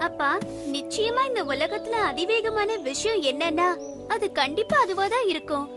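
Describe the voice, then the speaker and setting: Young cartoon girl, bright-minded and reassuring, very high voice, loud music underneath. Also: expressive, moderate pace. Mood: reassuring, confident. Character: clever, reassuring young girl storyteller, a dutiful daughter. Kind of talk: cartoon dubbing.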